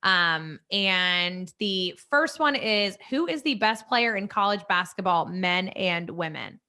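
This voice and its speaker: The bright-voiced thirty-something woman at the sports bar table, eagerly chatting through every player with her friends. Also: mid-range voice, expressive, slow pace, clean tone. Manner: chatty, enthusiastic. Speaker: co-host of a sports podcast. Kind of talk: casual sports chat.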